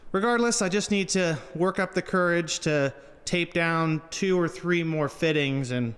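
A man's voice: a little high, a little slow, a bit bright, clean tone, long reverb tail. A young man, a farmer, talking to camera in a dry, self-deprecating, chatty way. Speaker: farmer and vlogger. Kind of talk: casual vlog narration. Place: on a farm, partly outdoors and in a tractor.

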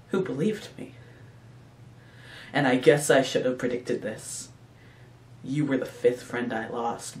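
A man's voice: medium pitch, darkish tone. A man performing a heartbroken, bitter, wistful friend in a mournful, theatrical audition monologue. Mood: bitter, hurt. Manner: slow, mournful, theatrical recitation. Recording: echoey room, distant mic, faint noise.

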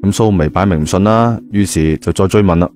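A deep-voiced man tells a story in colloquial Cantonese. His tone is mellow and dark, and his delivery is that of an audio drama narrator. Music plays clearly behind him. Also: fairly quick. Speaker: audio drama narrator, also voicing the male lead. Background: background music.

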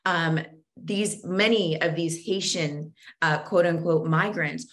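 A middle-aged woman, speaking deliberately and earnestly as an interview guest explaining things on a video call. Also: lowish voice, a bit bright. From born in Zambia, moved to the United States at age four. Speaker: guest author and co-host. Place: home office with bookshelves, indoors.

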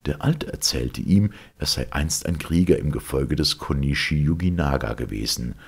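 Very deep, gravelly male storyteller. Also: expressive, moderate pace. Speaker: narrator reading a legend aloud. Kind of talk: scripted storybook narration.

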